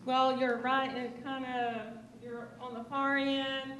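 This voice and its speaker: A woman from Oklahoma with a slightly high voice talks to a room as a guest speaker, posing questions and teasing at the answers. She is heard from a distance in a reverberant chamber with faint hiss. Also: little pitch movement, takes it slowly, a bit bright. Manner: playful, quizzing the audience. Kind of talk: friendly talk to an audience. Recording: echoey chamber, mic from far off.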